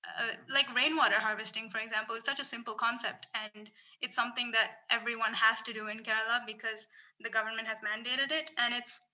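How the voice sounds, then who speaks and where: A woman's voice: on the high side, steady tone, fairly quick, pushed and bright, rough recording. A young woman from Singapore, a climate researcher, speaking earnestly as a podcast guest with frequent restarts. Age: young adult. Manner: earnest, thoughtful, many restarts. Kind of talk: casual podcast interview.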